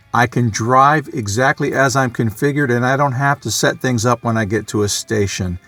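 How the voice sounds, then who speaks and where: A man with a medium-pitched voice talks through a hobby explainer in a casual, unscripted way. He sounds conversational, working out his explanation as he speaks. The recording is close and dry, with faint music in it. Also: fairly bright tone, no echo. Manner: conversational, thinking aloud, loosely explaining. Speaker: amateur radio hobbyist and video presenter.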